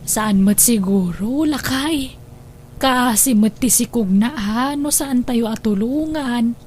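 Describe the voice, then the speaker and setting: An actress plays a fretful mother of a grown son in a radio drama. She sounds motherly and high-pitched, over faint background noise. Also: takes it slowly, darkish tone. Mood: worried, resigned. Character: young man's fretful, motherly mother in household.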